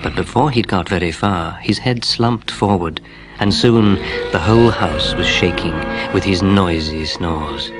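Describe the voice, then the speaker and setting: A man reading a children's story in an expressive narrating style. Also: low-pitched, a little slow. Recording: music playing underneath.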